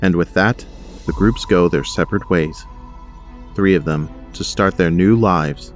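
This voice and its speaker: A man acts as narrator of a superhero audio drama. His voice is notably deep. He reads scripted scene descriptions over faint music. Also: a little slow. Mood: measured. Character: male narrator of a superhero adventure.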